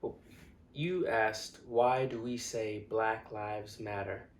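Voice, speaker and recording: Male actor as young man, indignant, earnest, conversational dramatic monologue, halting yet insistent, reverberant room. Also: fairly low pitch, steady tone. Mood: polite, restrained. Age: young adult. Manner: deliberate, hesitant pauses and repeated words, building to insistence. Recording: echoey room.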